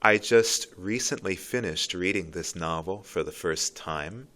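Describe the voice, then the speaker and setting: Brief: man with a low voice, slow and thoughtful, explaining a poem in earnest. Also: fairly bright tone. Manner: thoughtful, earnest, with pauses and restarts. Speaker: literature commentator. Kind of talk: reflective spoken commentary on a poem.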